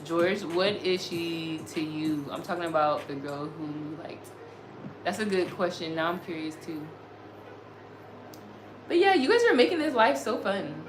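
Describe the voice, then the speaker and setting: A woman's voice: on the low side, expressive, measured pace. A woman of about thirty chatting casually on a livestream as its host, reading and answering comments in an earnest, effusive way, repeating herself as she goes. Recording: roomy echo, music behind the voice. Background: music.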